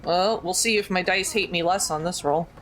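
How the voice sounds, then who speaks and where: A woman's voice: on the low side, normal speed, fairly bright tone, rough recording. A woman playing a tabletop game, speaking in a casual, conversational style.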